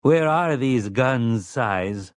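A man's voice: mid-range voice, expressive, very slow, no echo, studio quality. A man voicing a smug, polite male robot with stiff, formal, old-fashioned phrasing in an audiobook. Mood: smug, eager. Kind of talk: audiobook character voice.

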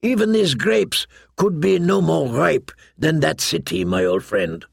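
A man's voice: on the high side, expressive, measured pace, gravelly, breathy edge, no echo, clean studio sound, pitched above the performer's own voice, exaggerated voice. A man voicing a boastful, lewd, wheedling pirate captain in an audiobook, with a theatrical, jovial manner and questioning tags. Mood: mournful, wheedling. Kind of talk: audiobook character voice.

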